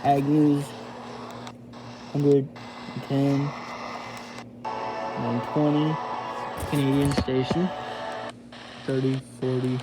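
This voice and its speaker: A man giving a casual hands-on product review, thinking aloud in a halting way as he demonstrates. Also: mid-range voice, level tone, loud music behind the voice. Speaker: amateur gadget reviewer. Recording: noisy homemade recording with radio static.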